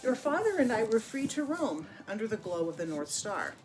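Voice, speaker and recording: Woman reading as a gentle, wistful mother reindeer. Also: a little high, sing-song, normal speed, poor audio. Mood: nostalgic. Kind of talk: picture-book storytelling.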